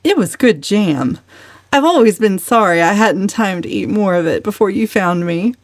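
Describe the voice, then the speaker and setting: A woman voicing a defiant, witty woman of twenty-nine with a calm, sardonic delivery in a storybook reading. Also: mid-range voice, no echo, studio quality, lightly acted. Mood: calm, amused. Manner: calm, dryly sardonic, deliberate. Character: defiant, witty, unflappable 29-year-old unmarried woman.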